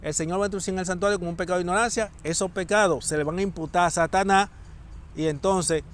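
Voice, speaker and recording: A man's voice: high-pitched, fairly even intonation, quick pace. A man preaching a sermon, emphatic and repeating key phrases for stress.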